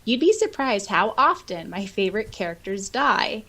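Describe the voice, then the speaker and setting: Expressive woman co-hosting a casual podcast. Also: medium pitch, normal speed. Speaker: podcast co-host. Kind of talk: casual chat and reading out listener comments.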